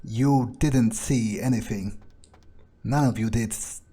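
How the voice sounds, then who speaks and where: A man role-playing a cocky, menacing vampire as he narrates his video game playthrough in a medium-pitched voice. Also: slow pace, mellow, breathy edge. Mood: cool menace. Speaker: let's player.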